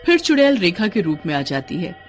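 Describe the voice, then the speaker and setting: A woman's voice: lowish voice, sing-song, a little fast, soft background music. Woman narrating a cartoon story.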